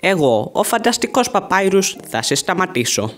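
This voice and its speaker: A performer voicing an excitable, boastful male skeleton guard in a theatrical, bombastic cartoon fan dub style. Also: medium pitch, faint music behind, close to an everyday voice. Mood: boastful, challenging. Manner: theatrical, bombastic, emphatic delivery.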